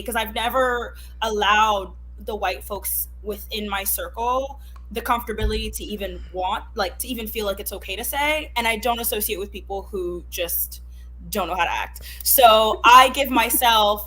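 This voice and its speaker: A woman's voice: mid-range voice, a bit bright, a little hiss or hum, rough recording. A woman born in Haiti whose first language is Creole, talking through her views in an emphatic, thoughtful way in a casual discussion. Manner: thoughtful, emphatic, self-correcting.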